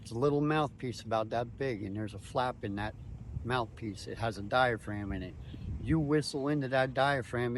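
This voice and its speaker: A man's voice: medium pitch, a little hiss or hum. A man speaking as a tour guide, explaining things step by step in short, emphatic declarative phrases.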